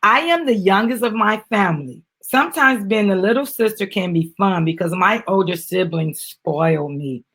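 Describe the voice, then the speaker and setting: A middle-aged woman with a slightly husky voice, reading a children's book aloud and chatting with a child and audience. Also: mid-range voice, lively intonation, moderate pace, few pauses, a bit bright. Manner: addresses the child and audience, prompting with questions. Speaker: mother reading a children's book with her daughter. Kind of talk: dramatic storybook reading with casual asides.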